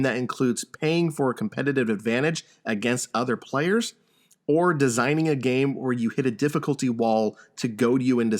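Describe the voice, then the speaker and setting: A man hosting a gaming podcast, talking casually and running through news items. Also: mid-range voice. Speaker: podcast host.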